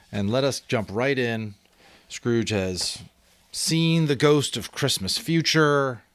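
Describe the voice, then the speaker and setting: Expressive man reading slowly, very varied pitch. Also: no echo. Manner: theatrical, expressive. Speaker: audiobook reader and host. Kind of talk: dramatic storybook narration with casual asides.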